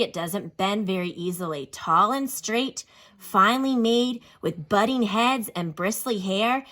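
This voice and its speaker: A woman's voice: medium pitch, fairly bright tone. A woman teacher reads a children's book aloud expressively, stopping to explain words and pose questions in an instructive way. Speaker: elementary school teacher reading a picture book aloud.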